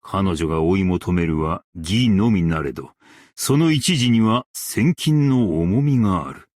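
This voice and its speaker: Slow, deep-voiced stern master craftsman. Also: expressive, a little mellow, no echo, studio quality. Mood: grave, measured. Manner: archaic, formal, measured diction. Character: stern, dignified ancient master craftsman and warrior, male. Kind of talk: Japanese game character voice lines.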